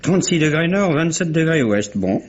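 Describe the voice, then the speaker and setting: An actor playing a blustering, evasive shipowner, talkative and defensive, in a vintage French radio mystery. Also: male voice, on the high side, animated, normal speed. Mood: assertive. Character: blustering, evasive American male shipowner under questioning. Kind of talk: 1950s-style French radio mystery acting. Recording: dull vintage radio recording, faint noise.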